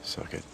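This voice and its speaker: A man playing a gruff, suspicious, hot-tempered survivor in a video game cutscene, speaking bluntly and often muttering. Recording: very noisy outdoor ambience, helicopter, vehicles.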